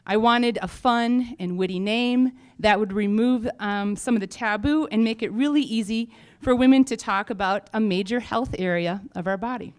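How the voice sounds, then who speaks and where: Clear-voiced woman giving an informal stage talk. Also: on the high side, normal speed, hardly any pauses. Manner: frank, humorous, chatty. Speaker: company founder giving a pitch update. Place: on stage at a live event.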